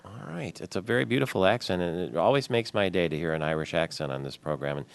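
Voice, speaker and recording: A man in his forties with a very low voice hosts a radio interview. He is chatty and friendly, stumbling and restarting as he works his questions out. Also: lively intonation, fast, vocal fry, a little hiss or hum. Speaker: radio host.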